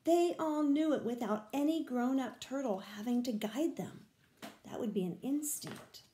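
Storyteller, older woman, slow expressive children's reading, slightly high mellow voice. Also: echoey room. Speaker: read-aloud storyteller. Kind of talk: children's picture-book reading. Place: small room at home, indoors.

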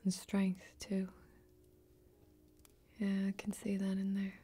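Woman acting a whispery, mellow, kindly warrior woman. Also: medium pitch, monotone, husky. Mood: admiring, tender. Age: young adult. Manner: whispery, gentle, folksy, reassuring, slow with pauses. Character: kindly, protective woman warrior of a fantasy land. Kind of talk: soft-spoken ASMR fantasy role-play.

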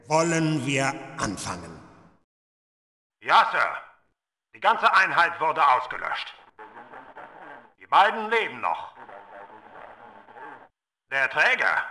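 An older gunslinger interrogator from a video game, smug, cruel and theatrical. His delivery is slow and deliberate, with big swings in pitch and a hard, forceful edge. The sound is echoey and reverberant. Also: full character voice. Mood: obedient, conspiratorial. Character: sadistic older male Russian gunslinger interrogator, menacing and smug. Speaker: voice actor in a video game dub.

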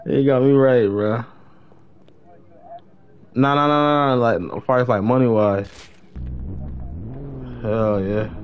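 A man's voice: mid-range voice, steady tone, slow, long pauses. Young male American game streamer, slangy and repetitive, slightly muffled with faint background noise. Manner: slangy, repeats phrases. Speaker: live streamer. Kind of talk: casual gaming livestream chat. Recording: dull, noisy game stream audio.

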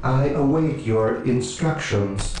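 A man voicing a dutiful, subservient servitor in a video game, measured and formulaic in his replies. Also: medium pitch, slight background noise, natural voice. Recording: heavily echoing voice over music. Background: loud music.

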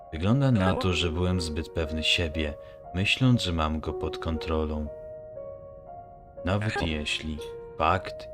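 A man playing a cold, domineering scientist mentor in an animated fan dub, speaking in clipped, contemptuous commands. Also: low voice. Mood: detached, clinical. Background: music.

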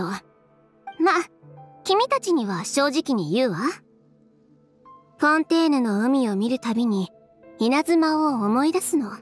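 A woman's voice: sits high, full character voice. An actress playing a confident, decisive young woman, a fashion designer, in a Japanese anime game. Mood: resolute. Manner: cool, decisive, blunt. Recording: music behind the voice.

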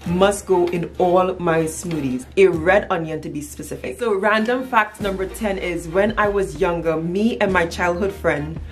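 A woman's voice: lowish voice, moderate pace, few pauses, faint music behind. A woman in her thirties with a Trinidadian accent, chatting casually to camera as a playful, emphatic storyteller. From Trinidad and Tobago. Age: 30s. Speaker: vlogger. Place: small furnished room at home, indoors.

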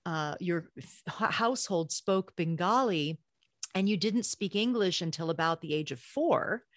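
A woman's voice: fairly low pitch, expressive, a little slow, no echo, studio quality. A woman hosting a podcast, encouraging her guest and asking questions in a casual interview. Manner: warm encouraging interviewer, frequent affirmations. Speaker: podcast host.

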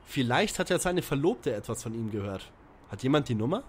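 A man's voice: medium pitch, big pitch swings, brisk, slightly rough. A man playing an eager young detective, earnest and formally articulate, in a parody audio drama. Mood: composed.

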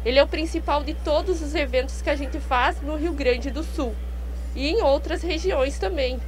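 A woman's voice: sits high, moderate pace. A young woman with a southern Brazilian accent answering conversationally as an interview guest, slightly muffled by a face mask. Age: young adult. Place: outdoors in a park at a fair. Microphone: handheld microphone. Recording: clear background noise and hum outdoors.

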